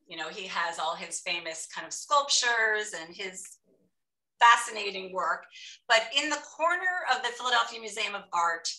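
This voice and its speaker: A woman's voice: medium pitch, bright, forceful tone, recorded from a distance. A woman hosting a book club talk, introducing the guest and chatting through her own stories. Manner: chatty and storytelling. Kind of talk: casual interview hosting. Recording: echoey room, voice from off mic.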